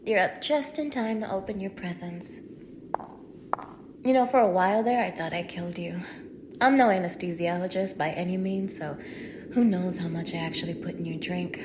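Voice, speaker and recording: A woman's voice: fairly low pitch, moderate pace, background noise, natural voice. A young woman acting a cruel, contemptuous captor in an audio roleplay, taunting one listener in a mocking, degrading manner. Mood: cold, menacing. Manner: mocking, degrading, swinging from hushed menace to shouting.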